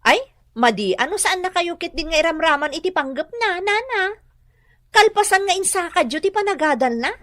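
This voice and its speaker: A woman's voice: very high-pitched, animated, normal speed, a bit bright, no room sound, studio quality. An actress playing an outspoken, insistent woman in a radio drama, with an Ilocano accent. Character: outspoken, insistent woman arguing with an older mother.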